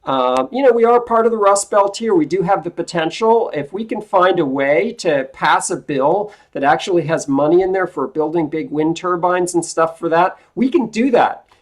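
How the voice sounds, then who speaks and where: A woman instructor playing a politician's aide in a classroom role-play, talking frankly and informally over a video call, her voice lower than usual today. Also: lively intonation, normal speed, hardly any pauses. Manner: frank, informal, restarts often.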